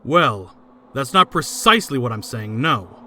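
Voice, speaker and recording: A man's voice: mid-range voice, very animated, unhurried, sharp tone, slight background noise, full character voice. A man voicing an arrogant, coldly logical elite student with haughty, clipped delivery in an anime fandub. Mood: haughty, impatient.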